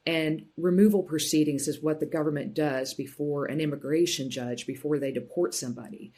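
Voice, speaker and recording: A woman's voice: deep voice, warm tone. Woman explaining carefully in an interview. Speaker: guest legal and policy expert. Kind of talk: explanatory conversational talk.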